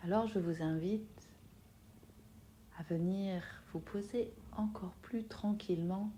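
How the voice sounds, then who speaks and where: A soft-spoken woman guiding a yoga nidra relaxation in a soothing manner, leaving long pauses between phrases. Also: mid-range voice, a little hiss or hum. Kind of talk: guided meditation.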